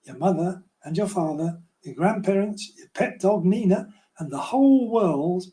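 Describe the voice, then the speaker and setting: An older man voicing a calm, wise old woman who gives instructions in a children's storybook. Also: high voice, normal speed. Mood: kindly. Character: kindly, wise old woman guide in magic garden.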